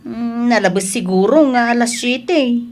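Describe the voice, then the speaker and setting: A woman playing a hardworking, exasperated girlfriend in a Filipino audio drama, with expressive delivery. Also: on the high side, measured pace, lightly acted. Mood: weary.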